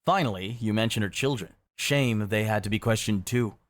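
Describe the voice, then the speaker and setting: Measured male storytelling narrator. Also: lowish voice. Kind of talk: scripted second-person storytelling narration.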